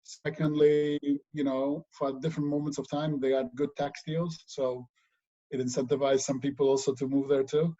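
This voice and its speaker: A middle-aged man from Spain, a guest in a casual remote interview, talking in a relaxed way with many pauses and fillers. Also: mid-range voice. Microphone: video call. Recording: dull lo-fi video call audio.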